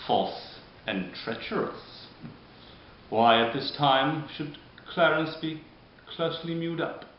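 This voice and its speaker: A man performing a bitter, scheming deformed nobleman in a modern-style Shakespeare monologue, speaking deliberately with dramatic pauses. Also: a little high, animated. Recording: dull, very echoey room, background hiss.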